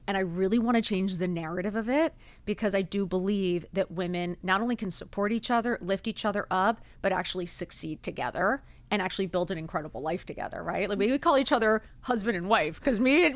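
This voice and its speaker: A woman's voice: mid-range voice, animated, fast talker, few pauses, a bit bright, dry recording. Gushing woman podcast host in her fifties. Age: 50s. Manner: gushing, effusive. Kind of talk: casual chat.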